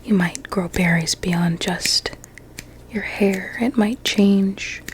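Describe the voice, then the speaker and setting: A woman playing a vain, sinister blueberry doctor in an ASMR medical roleplay, speaking in a soft breathy whisper with soothing menace. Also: medium pitch, fairly even intonation, slow pace, slight background noise, close to an everyday voice. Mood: softly menacing.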